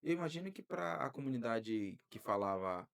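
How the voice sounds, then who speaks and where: A man hosting a podcast interview, talking in a lively way and drifting into preacherly asides. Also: mid-range voice, moderate pace, creaky. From Brazil. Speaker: podcast host. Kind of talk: casual interview.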